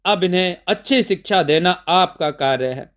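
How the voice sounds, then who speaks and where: A serene divine woman from a mythological storybook, as read by a male narrator, speaks with solemn reassurance. The voice is smooth, resonant and high for a man, calmly explaining. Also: normal speed. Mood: calm, reassuring.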